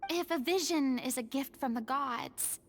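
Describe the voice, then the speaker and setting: An actress voicing a poised, eloquent former goddess turned actress in a game, speaking in a formal, reflective way. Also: female voice, high-pitched, a little slow, faint music behind. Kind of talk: game voice acting.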